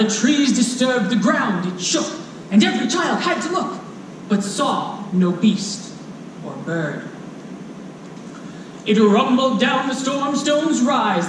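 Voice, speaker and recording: A storyteller reading rhyming children's fantasy to a live audience in a dramatic, playful way, speaking directly to listeners. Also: mid-range voice. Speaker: storyteller presenting a children's book. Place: convention stage before a live audience. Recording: echoey live hall, distant mic, faint noise.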